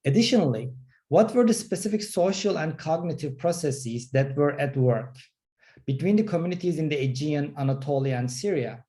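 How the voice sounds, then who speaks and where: A man in his forties from Turkey, an art history professor giving a formal prepared self-introduction in a measured, steady way. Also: a little high.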